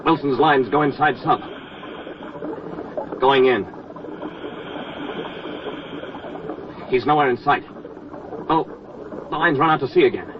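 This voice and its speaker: An actor playing a brave navy diver giving a terse, urgent running report in a 1940s radio drama. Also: male voice, mid-range voice, normal speed, pauses often, close to an everyday voice. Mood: terse, tense. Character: brave male navy diver Moran reporting tense undersea rescue. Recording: noisy, dull vintage 1940s radio recording.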